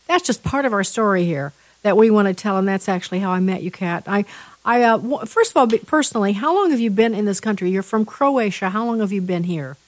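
A woman hosting an interview show, chatting with her guest in a halting way, full of restarts. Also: mid-range voice, lively intonation, dry recording. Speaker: interview host.

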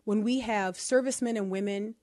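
A woman's voice: mid-range voice, steady tone, a little fast, darkish tone, no echo, studio quality. A woman from New Orleans with a local accent, co-hosting a casual commentary chat and piling up emphatic rhetorical questions. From New Orleans, USA. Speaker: podcast co-host.

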